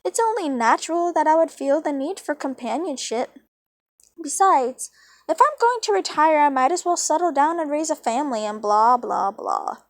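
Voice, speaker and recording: A woman's voice: sits high, lively intonation, normal speed, no room sound, studio quality, pitched above the performer's own voice. A woman voicing a teasing, theatrical male chaos creature villain from a storybook, with a mischievous, mocking manner. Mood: breezy, self-pitying.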